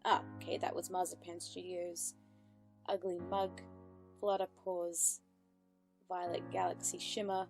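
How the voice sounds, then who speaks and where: A woman's voice: lowish voice, flat delivery, a little slow, gravelly, long pauses, music in the background. A woman hosting casual reaction commentary, chatty and upbeat, full of fillers and self-corrections. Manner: giggly, upbeat. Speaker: reaction channel host.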